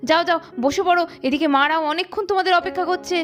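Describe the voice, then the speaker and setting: A woman's voice: very high voice, racing, a bit bright. A woman voicing an eager, caring young woman doll in a cartoon family story, with a girlish, chiding manner. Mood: relieved, scolding. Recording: music behind the voice.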